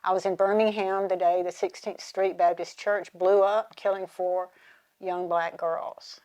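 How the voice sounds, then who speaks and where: Older American woman with a lowish voice. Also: flat delivery, unhurried, a little mellow, poor audio. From southern United States. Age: 70s or older. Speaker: speaker giving personal testimony. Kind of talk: reflective personal testimony, partly prepared.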